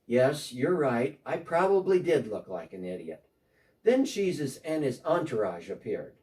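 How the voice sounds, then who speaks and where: A man's voice: mid-range voice, sing-song, a little slow, darkish tone, distant mic. A man playing an eager, repentant tax collector. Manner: earnest storytelling, talking as if on a phone call. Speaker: dramatic reader. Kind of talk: first-person dramatic monologue.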